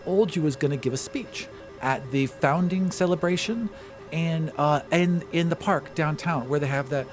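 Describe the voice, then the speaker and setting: A man's voice: on the high side, normal speed, soft background music, voice pushed up, lightly acted. A man voicing a hesitant, earnest young woman in a tabletop game, rambling and repeating words. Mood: awkward, rambling.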